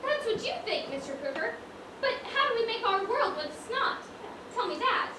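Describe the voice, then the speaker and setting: A woman acting on stage as an indignant, proper, sharp-tongued shopkeeper, projecting in an exasperated, scolding way. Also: very high voice, big pitch swings, moderate pace, distant mic. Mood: skeptical. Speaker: stage actor in a one-act play. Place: theatre stage, distant microphone. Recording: echoey, noisy stage recording from afar.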